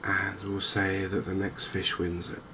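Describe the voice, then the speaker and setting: A man gives gentle, softly spoken ASMR commentary on a game, chatty and unhurried with hesitant pauses. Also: low-pitched. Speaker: gaming video host. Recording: clear steady background noise and ambience.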